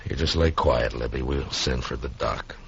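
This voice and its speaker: An actor playing a stern, weary, commanding frontier marshal in a 1950s radio western. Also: male voice, a bass voice, normal speed, gravelly. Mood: grave, subdued. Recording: dull, faintly noisy 1950s radio recording.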